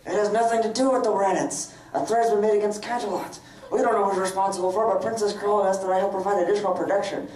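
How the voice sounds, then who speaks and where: A man's voice: sits high, flat delivery, fast, hardly any pauses, darkish tone, natural voice. A man reading the part of a dutiful, defensive older-brother pony guard in a comedic live dramatic reading. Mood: defensive, earnest. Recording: echoey room, distant mic.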